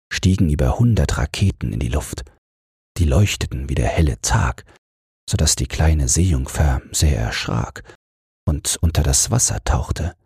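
A man narrates a fairy-tale audiobook meant for bedtime in a very low, heavily husky voice. He takes his time with an unhurried, soothing delivery. The sound is dry, with no room echo. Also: a little mellow.

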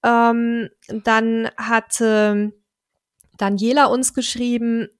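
A fairly high-voiced woman talking easily as a podcast co-host, filling her pauses with "ähm". She reads listener letters and answers them in a relaxed, chatty way. The sound is dry and close, with no room heard. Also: smooth, no echo. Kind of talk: casual podcast chat, reading listener mail.

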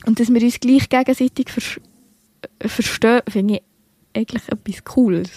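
A woman's voice: a little high, moderate pace, mellow, no room sound. Young Swiss woman, Bernese accent, dry sound. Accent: Bernese Swiss German. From Switzerland. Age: young adult. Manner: chatty, many fillers and self-corrections. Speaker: podcast co-host. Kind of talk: casual literary podcast chat.

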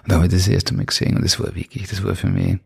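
Low, creaky-voiced Austrian man telling stories. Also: flat delivery, rapid-fire. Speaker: interview guest. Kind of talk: casual autobiographical storytelling.

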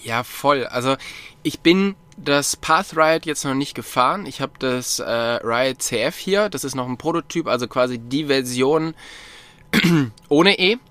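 Bright-voiced man chatting casually. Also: mid-range voice, a little slow, clear, resonant tone, no room sound. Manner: relaxed, rambling with many fillers. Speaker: podcast co-host, sponsored cyclist. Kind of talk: casual chat.

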